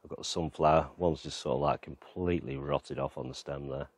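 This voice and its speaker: Very deep-voiced man touring his garden. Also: fairly quick, breathy edge, no room sound, clean studio sound. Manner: relaxed, rambling, lots of fillers. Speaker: gardening vlogger. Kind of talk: casual walk-around garden tour. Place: outdoors in an allotment and back garden.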